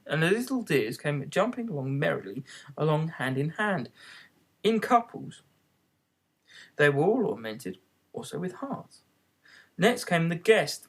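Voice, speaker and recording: Male audiobook reader, expressive storybook narration, very varied intonation. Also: a little high.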